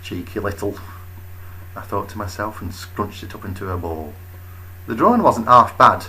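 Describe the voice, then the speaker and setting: Bright-voiced man telling a wry comic story. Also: fairly low pitch, very animated, moderate pace, slight background noise, rough recording. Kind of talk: dramatic comic first-person story narration.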